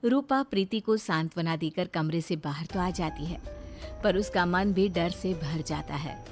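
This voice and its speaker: A woman narrates a scripted story in a dramatic style. Her voice is slightly low. Loud music plays behind her words. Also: unhurried.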